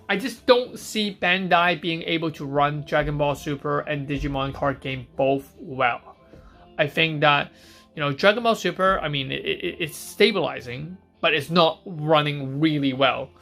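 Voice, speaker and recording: Male stream host from Hong Kong, somewhat high voice, casual chat answering viewer questions, faint music behind. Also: unhurried, vocal fry, a bit bright. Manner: chatty, reads out viewer questions and answers them on the spot.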